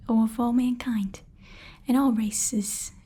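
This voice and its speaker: A woman whispering softly and breathily in an ASMR roleplay as a playful, fussy appraiser of magical items. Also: a little high, steady tone, slow, husky. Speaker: ASMR performer.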